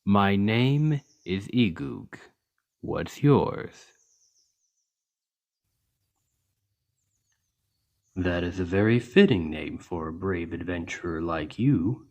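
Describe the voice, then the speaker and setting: Huge, kindly male orc healer played by a man for ASMR roleplay: low-pitched, unhurried, patient and soothing. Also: lively intonation, full character voice. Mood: gentle, reassuring. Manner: slow, gentle, reassuring, deliberate. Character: towering seven-foot male orc healer, gentle and patient. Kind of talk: ASMR roleplay spoken to the listener.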